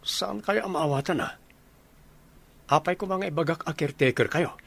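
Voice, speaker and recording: A man's voice: fairly high pitch, normal speed, gravelly, a bit bright, slightly rough, heavily acted. A man playing an earnest, dutiful grown son in a radio drama, with an Ilocano accent. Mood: puzzled.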